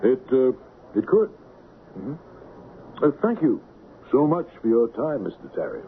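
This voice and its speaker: An actor playing a shrewd, persistent police lieutenant in an old radio crime drama, with a dry, probing manner. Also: male voice, medium pitch, sing-song, measured pace. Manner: dry, probing, hesitant lead-ins. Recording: narrow, noisy old radio broadcast.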